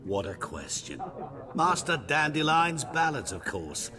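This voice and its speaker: A man's voice: fairly high pitch, very animated, measured pace, crowd noise. An actor playing a sly, talkative male vagrant in a video game, wheedling and theatrical, dropping into whispers.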